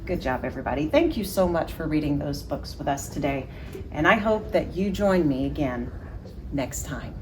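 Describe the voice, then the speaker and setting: A woman hosting a children's story time, reading aloud in an expressive, encouraging way. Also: low-pitched, normal speed. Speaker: library story time host.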